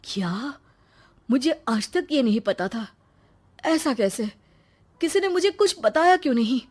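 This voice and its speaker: A woman's voice: high-pitched, expressive, unhurried, a little mellow, breathy edge, pitched above the performer's own voice, heavily acted. Woman voicing a curious, bold young man. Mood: astonished. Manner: questioning, emphatic. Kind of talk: cartoon story dialogue.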